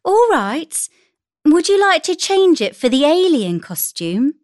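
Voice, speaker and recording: Woman acting a high-pitched, cheerful storybook mum. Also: sing-song, measured pace, a little mellow, no echo, studio quality. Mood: obliging. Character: cheerful, caring mother of a young girl. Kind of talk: children's storybook character voice.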